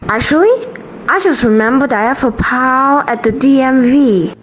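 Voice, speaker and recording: A woman takes on a male animal sidekick in a cartoon dub. The voice sits fairly high and stays playful. The character comes across as a breezy smooth talker who likes to tease. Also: moderate pace, slight background noise, natural voice. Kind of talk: amateur cartoon dubbing.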